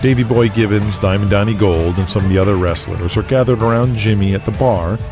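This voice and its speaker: A man's voice: fairly low pitch, a little fast. A man reading dramatic scripted narration in the present tense as the narrator of an audio drama. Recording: music playing behind.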